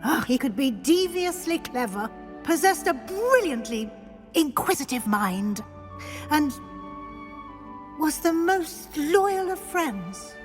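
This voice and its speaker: An earnest professor honoring a fallen colleague, high-pitched and unhurried. Her words echo through a large hall with faint game music beneath. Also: very animated, exaggerated voice. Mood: solemn. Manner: earnest, measured, solemn. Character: earnest older female professor delivering a eulogy. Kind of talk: video game cutscene acting. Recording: echoey hall reverb, faint music score.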